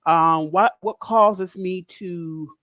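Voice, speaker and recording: A middle-aged woman from the Northeast US with a big, deep, loud voice, talking candidly and directly in a casual podcast chat as a guest speaker. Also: drawn-out words, no echo, studio quality. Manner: direct, candid, laughs often.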